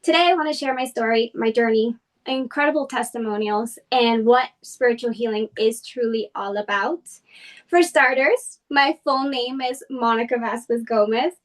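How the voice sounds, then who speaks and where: A woman's voice: fairly high pitch, moderate pace, far from the mic. A woman from Colombia who was raised in Boston, guesting on a podcast and telling her personal story earnestly, with many fillers.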